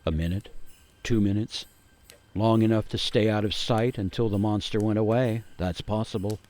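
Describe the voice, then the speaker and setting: A man playing a grave gingerbread man, narrating a radio play as a haunted survivor with theatrical suspense and weighty pauses. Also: on the low side, fairly even intonation, darkish tone, natural voice. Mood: hushed suspense. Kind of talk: dramatic first-person storytelling for a radio play.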